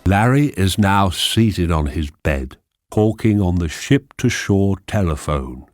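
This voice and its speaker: A man narrating a radio drama, reading screenplay directions in a measured, deliberate manner. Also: low voice, animated, darkish tone, faint music behind.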